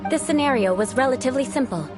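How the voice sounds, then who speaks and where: A woman's voice: sits high, animated, quick pace. A woman voicing a haughty, elegant young noblewoman knight in a video game, formal and condescending as a stern instructor. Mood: poised, instructing. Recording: background music.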